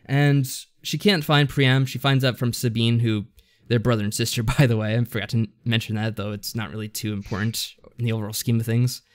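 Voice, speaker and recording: A man's voice: mid-range voice, steady tone, brisk, dry recording, clean studio sound. A man co-hosting a casual podcast, recapping a story in a rambling, unsure way and often correcting himself. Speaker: podcast co-host. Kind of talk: casual chat recapping a visual novel story.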